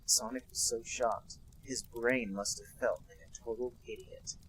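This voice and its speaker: A man's voice: mid-range voice, steady tone, measured pace, a bit bright, rough recording. A young man, podcast co-host, narrating in a mock-serious comedic reading with dry asides.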